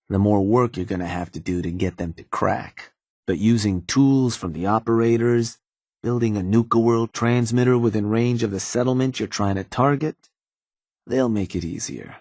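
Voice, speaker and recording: Dry raider fixer. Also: male voice, on the low side, moderate pace, darkish tone, no room sound. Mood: businesslike. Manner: dry, matter-of-fact. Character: laid-back male raider fixer serving his boss. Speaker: gang fixer taking orders. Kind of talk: video game dialogue.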